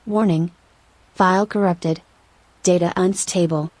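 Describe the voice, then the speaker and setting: A woman's voice: lowish voice, fairly even intonation, unhurried, heavy background noise, lightly acted. A woman voicing an impersonal computer system in an audio drama, giving clipped, formal, emotionless announcements. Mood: impersonal, alerting. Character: impersonal female computer system voice issuing alerts.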